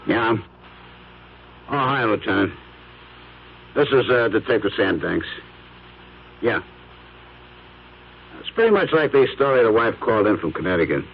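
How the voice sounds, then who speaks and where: A gruff deep-voiced detective. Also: male voice, very animated, unhurried, long pauses, heavily acted. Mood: offhand. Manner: gruff, offhand, streetwise. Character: blunt wisecracking male police detective. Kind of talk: 1970s radio drama acting. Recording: dull radio broadcast with faint hiss.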